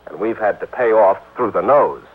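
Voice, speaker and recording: A man's voice: lowish voice, animated, normal speed. American, folksy insurance man, chummy, swinging intonation, narrow old-radio sound. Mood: confiding, grumbling. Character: chummy, grumbling married insurance company man. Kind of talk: 1950s radio drama acting. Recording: old band-limited radio broadcast recording.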